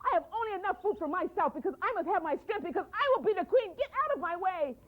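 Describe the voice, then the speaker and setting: A woman's voice: high voice, sing-song, quick pace, voice pushed up. A woman voicing a greedy, proud young woman who scolds and insults everyone in a storybook tale. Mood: haughty. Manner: haughty, scolding, rude. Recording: tinny phone-like sound.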